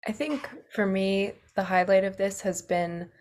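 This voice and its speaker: A teenage girl from Rhode Island, a drama student, speaking in a measured, sincere way. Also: medium pitch, steady tone, darkish tone. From Providence, Rhode Island. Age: late teens.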